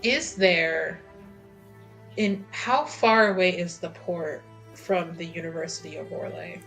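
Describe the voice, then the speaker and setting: A woman's voice: lowish voice, slow pace, vocal fry, a bit bright, soft background music, rough recording. A woman chatting casually and bluntly as a player in a tabletop roleplaying game. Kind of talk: casual planning chat.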